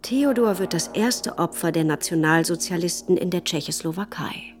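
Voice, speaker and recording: An actress playing a sober, grave widow who narrates her own story in a measured way in a radio play. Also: on the low side, natural voice. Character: sober widow recounting exile and survival. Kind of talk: scripted first-person radio drama narration.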